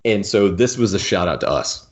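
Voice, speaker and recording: A man's voice: low-pitched, animated, normal speed. A man chatting casually and keenly as a podcast co-host about fan details. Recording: dull, lo-fi microphone sound.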